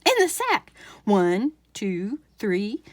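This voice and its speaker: Blustering old farmer for a storybook, played by a woman, gloating and emphatic, swinging widely in pitch. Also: huge pitch swings, drawn-out words, no echo, heavily acted. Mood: insistent.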